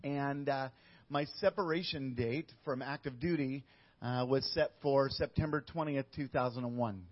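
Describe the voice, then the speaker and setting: A middle-aged man, a missionary guest, telling his story in a casual interview with frequent pauses and fillers. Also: medium pitch. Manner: steady, with many uh fillers and restarts. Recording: dull lo-fi audio.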